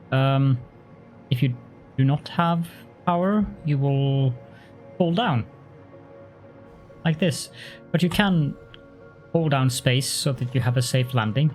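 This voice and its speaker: Slow-talking man streaming a game. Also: mid-range voice, a little mellow. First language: Norwegian. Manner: chatty, hesitant, lots of fillers and restarts, chuckles often. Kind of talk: casual live-stream chat while playing.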